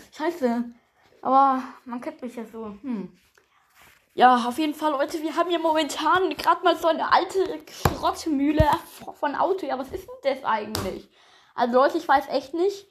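A woman's voice: sits high, slight background noise. A woman improvising a homemade audio play, chatting casually and hesitantly straight to the listeners.

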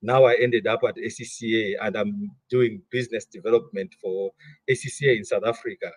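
Malawian man, middle-aged interview guest, husky and dry-miked, halting and repetitive delivery. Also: fairly low pitch, fairly even intonation, dry recording. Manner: repeats words often while thinking. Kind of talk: interview conversation.

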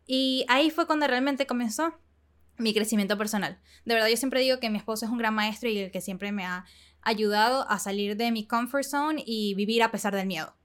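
Young Venezuelan woman hosting a personal podcast, talkative and open, pitched a little high. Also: a little fast. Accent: Venezuelan Spanish. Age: 20s. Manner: candid, chatty, with frequent fillers and soft laughs. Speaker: podcast host. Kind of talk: casual personal monologue.

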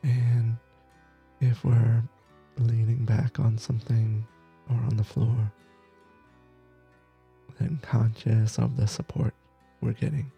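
A man leading a guided meditation as teacher, gentle and soothing, with soft breathy phrases that trail off between long pauses. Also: mid-range voice, flat delivery, muffled recording, faint music behind. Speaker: meditation teacher, podcast host.

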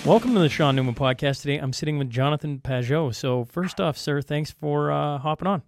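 A man hosting a podcast, asking questions in a halting way with lots of fillers and restarts. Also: on the high side, faint music behind. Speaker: podcast host. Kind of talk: casual interview talk.

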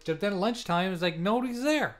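A man explaining himself to his friends in a casual chat. His voice is somewhat high and lively. Music plays faintly behind him. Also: fast.

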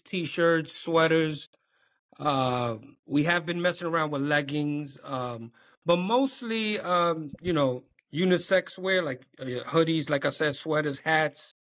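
Man, slightly higher voice, relaxed and halting. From New York. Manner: relaxed, halting with many ums, chuckles. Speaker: clothing brand owner, guest. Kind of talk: casual interview chat.

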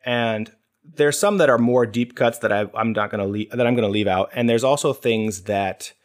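A man hosting a casual podcast chat, explaining things in a measured way and thinking aloud as he goes. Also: medium pitch. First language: English. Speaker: podcast host.

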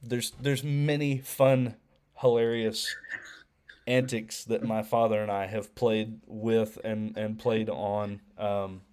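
A young man co-hosting a faith podcast, talking casually with a head cold that makes him a little nasal, often pausing with ums and restarting. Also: lowish voice, flat delivery, darkish tone. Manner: hesitant, many ums and restarts. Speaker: podcast co-host.

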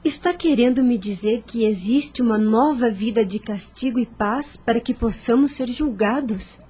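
An actress playing a sweet, meek, anxious young heiress in a radio drama, earnest and questioning, with a soft breathy voice. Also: female voice, fairly high pitch, moderate pace. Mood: puzzled, questioning. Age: young adult.

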